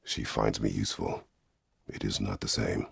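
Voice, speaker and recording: An actor playing a stern, weary, middle-aged Greek war god in a video game, speaking tersely and formally with a gravelly, husky voice. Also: male voice, rumbling low, little pitch movement, moderate pace, no echo, clean studio sound, exaggerated voice. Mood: stern, brooding. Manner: terse, grave, formal phrasing without contractions. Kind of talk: dramatic video game voice acting.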